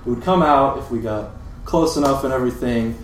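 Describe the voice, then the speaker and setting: A man's voice: medium pitch, brisk. A man with a Midwestern American accent telling a casual, self-deprecating comic story live. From Europe, Britain, moved to the States in seventh grade. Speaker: guest storyteller. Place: live event room with audience. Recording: echoey live room, distant mic, noisy.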